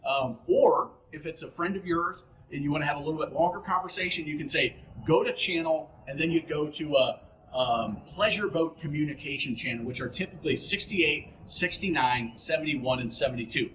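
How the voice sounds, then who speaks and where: A man's voice: fairly high pitch, a little fast, a bit bright, roomy sound, slight background noise, poor audio. A man giving informal, practical boating instruction, speaking plainly and directly to the listener as an instructor.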